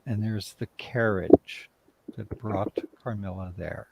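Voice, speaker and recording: A man talking casually on a livestream video call as a writer and director, telling things in a hesitant, halting way. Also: lowish voice, fairly even intonation, gravelly, rough recording. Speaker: writer and director, interview guest.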